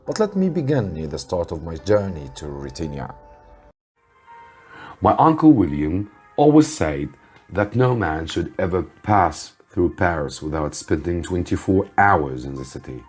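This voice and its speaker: A man, an Arabic speaker, reading a story to students as a teacher, with deliberate theatrical emphasis. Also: low voice, a little mellow, husky. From Egypt. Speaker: English teacher.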